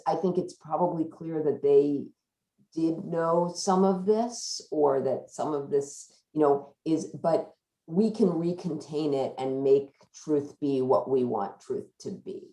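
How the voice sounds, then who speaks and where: Echoey, low-voiced woman, unhurried. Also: mellow. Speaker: book discussion leader. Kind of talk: informal literary discussion. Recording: echoey room sound.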